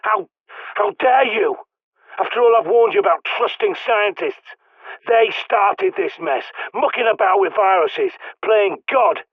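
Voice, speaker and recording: A man's voice: high voice, expressive, a little slow, no echo, heavily acted. A man voicing a blunt, sardonic male survivalist in a video game, with a British accent, guiding someone over the radio. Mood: outraged. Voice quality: gruff, sardonic, swears often. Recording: dry tinny radio-like line.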